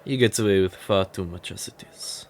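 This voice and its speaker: A man playing a stern, weary older professor in an audio roleplay, speaking in a measured, authoritative, dryly disapproving way. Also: low-pitched, slight background noise. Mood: reassuring, firm.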